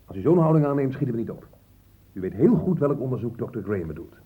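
An actor playing a stern, impatient police inspector in a radio play, blustering and condescending with threats. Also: male voice, mid-range voice, sing-song, quick pace, husky. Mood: impatient, official. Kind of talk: radio play acting in Dutch. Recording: muffled, phone-like old radio recording.

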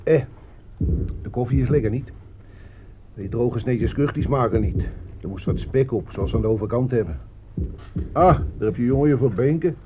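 A man's voice: mid-range voice, moderate pace, pauses often, natural voice. An actor in a radio play playing a chatty, down-to-earth veteran soldier, folksy and offhand, grumbling as he talks. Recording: muffled, noisy old radio play recording.

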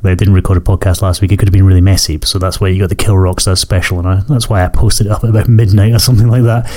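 A man hosts his podcast while hoarse and croaky with a cold. His low, mellow voice rushes along at a quick clip. He rambles casually and keeps correcting himself mid-sentence. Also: flat delivery. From Scotland. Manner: rambling, self-correcting, apologetic. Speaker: podcast host. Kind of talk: casual solo podcast chat.

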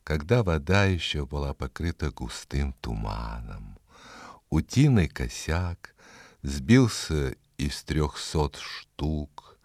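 A man with a very deep voice narrates a fairy tale. His delivery is slow and dramatic, with expressive rises and falls. The recording is dry and close. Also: no room sound.

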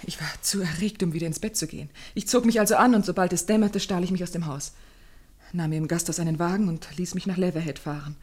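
A woman's voice: on the low side, quick pace, darkish tone, lightly acted. An actress playing an anxious, well-bred young lady in a German radio play, speaking earnestly and formally with careful articulation. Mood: agitated, fearful.

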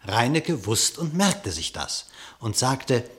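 A man narrating a classic radio play in verse, with theatrical, measured declamation. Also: mid-range voice, big pitch swings, a bit bright.

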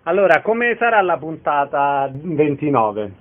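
A man with a fairly high voice hosts a casual radio-style interview, asking the questions. His voice comes through thin and tinny, with the narrow band of a phone line. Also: big pitch swings, unhurried.

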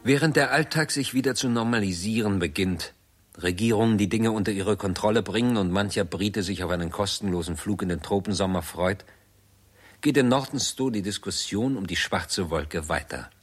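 Narrator, lowish husky voice, sober, documentary tone, scripted 1960s radio drama. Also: male voice, normal speed. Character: sober, documentary-like male narrator of a science fiction tale.

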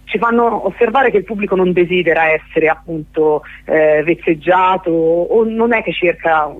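A woman's voice: on the low side, normal speed, no echo. Woman on a narrow phone line. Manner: hesitant, searching for words, long-winded. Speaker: festival organiser interviewed as guest. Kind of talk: live radio interview, explanatory. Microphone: telephone. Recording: narrowband telephone line on radio.

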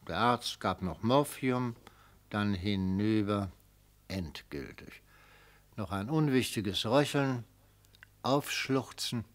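A man's voice: fairly low pitch, slow, pauses often. An actor playing a retired prosecutor in a radio play, theatrical and silky, mockingly affable as he probes and savours each phrase. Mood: dramatic relish. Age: older. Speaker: prosecutor in a mock trial. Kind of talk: German radio play acting.

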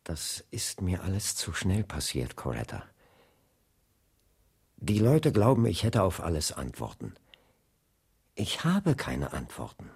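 An actor playing a weary, earnest young preacher and leader in a German radio play, with a husky voice. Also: male voice, sits low, huge pitch swings, measured pace, long pauses, darkish tone, exaggerated voice. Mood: overwhelmed. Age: young adult.

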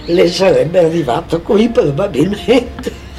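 A man sharing a personal recollection in a halting, emotional, conversational way. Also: sits high, animated. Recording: noisy ambience with birdsong.

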